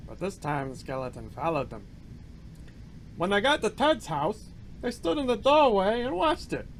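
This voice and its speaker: A man reading a spooky story aloud in a drawn-out, dramatic storytelling manner. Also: sits high, big pitch swings, a little hiss or hum. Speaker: library staff reader.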